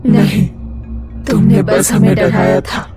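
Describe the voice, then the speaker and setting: A woman's voice: slow pace, lightly acted. A woman voicing a vengeful, defiant ghost of wronged village women, accusing and dramatic, in a horror story. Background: loud music.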